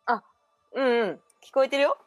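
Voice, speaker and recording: A woman's voice: high-pitched, fairly quick, no room sound, faint music behind, close to an everyday voice. A woman performing in an amateur radio drama as a young woman who confides memories of a past life. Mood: mysterious.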